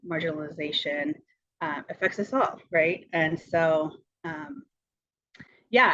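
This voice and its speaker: A woman's voice: low-pitched, fairly bright tone. A woman from Guatemala giving an informal presentation as a nonprofit co-director, speaking reflectively and often restating her phrases. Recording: roomy, slightly dull sound.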